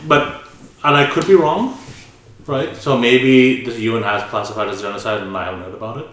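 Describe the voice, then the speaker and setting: A man's voice: mid-range voice, a little fast, fairly bright tone, slight background noise. A man hosting a podcast, talking candidly and questioning his own views. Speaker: podcast host. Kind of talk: podcast conversation. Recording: very echoey room.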